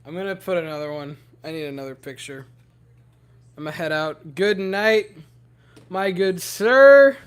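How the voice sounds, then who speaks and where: A young man with a hoarse, worn-out voice, rambling giddily to his livestream chat from home. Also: high-pitched, a little slow. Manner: giddy, rambling, talking to chat. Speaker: streamer.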